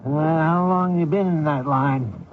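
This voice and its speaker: An actor playing a blunt, folksy small-town man who probes strangers, husky, in old-time radio drama style. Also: fairly high pitch, unhurried, narrow, tinny audio, a little hiss or hum, natural voice. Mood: suspicious, prying. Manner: folksy, probing, plain-spoken.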